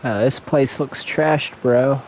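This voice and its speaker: Casual male game streamer, mid-pitched. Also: steady tone, takes it slowly, a little mellow, slight background noise, low-quality recording. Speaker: live streamer. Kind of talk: casual gameplay commentary.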